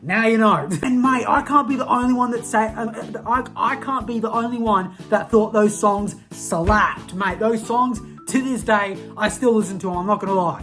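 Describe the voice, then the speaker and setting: A young Australian vlogger with a mid-pitched voice talks in a loose, slangy ramble, tripping over restarts and poking fun at themself. Faint music sits low behind the voice. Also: fairly bright tone. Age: young adult. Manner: rambling, slangy, self-mocking, lots of restarts. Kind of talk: casual nostalgic rant to camera.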